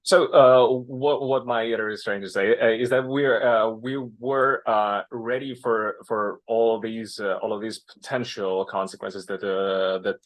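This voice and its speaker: A man's voice: on the low side, fairly even intonation, unhurried, hardly any pauses. A man interpreting into English at a panel, hesitant and rephrasing as he goes. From Russia. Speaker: interpreter, English-language editor. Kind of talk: interpreting aloud at a public panel.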